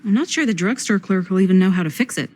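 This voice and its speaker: An actress playing a dry, matter-of-fact young friend in a video game drama, speaking casually. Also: female voice, medium pitch, lively intonation, fast, a little mellow, natural voice.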